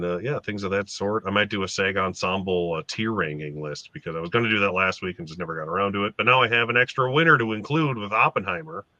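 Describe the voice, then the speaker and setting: A man chatting casually as a guest creator, relaxed and rambling with a lightly wry edge, on a dull lo-fi mic. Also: sits low, no echo. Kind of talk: casual online group chat.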